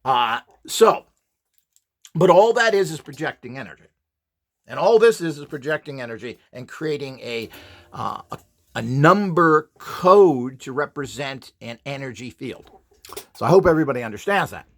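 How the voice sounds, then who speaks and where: A man's voice: mid-range voice, animated, moderate pace, a bit bright. A man giving an informal explanatory tutorial, rambling and opinionated as he talks. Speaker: instructor and device builder.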